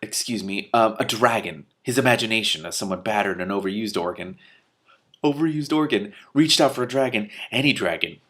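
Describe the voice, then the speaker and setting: A man reading a comic fantasy novel aloud in a lowish voice. He reads expressively, swinging between quiet and loud, and stops now and then for amused asides. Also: a little fast. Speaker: reader and commentator.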